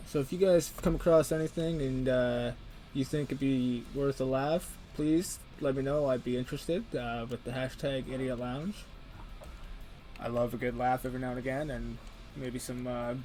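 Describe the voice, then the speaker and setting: A man's voice: mid-range voice, fairly even intonation, moderate pace, darkish tone, a little hiss or hum, low-quality recording. A man hosting a casual livestream, reading the chat aloud in a joking way and laughing often. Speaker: stream host.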